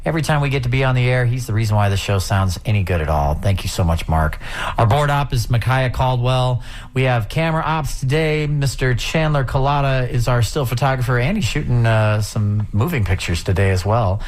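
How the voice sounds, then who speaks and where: Male radio host, mid-pitched, easygoing, chatty interviewer. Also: brisk. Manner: easygoing, agreeable, talks in long run-on thoughts. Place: radio station studio.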